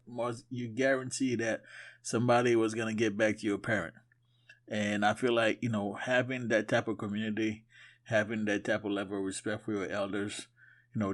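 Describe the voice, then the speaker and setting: A man from Haiti co-hosting a podcast, talking casually in a conversational, storytelling way. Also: fairly low pitch. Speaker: podcast co-host. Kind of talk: casual chat.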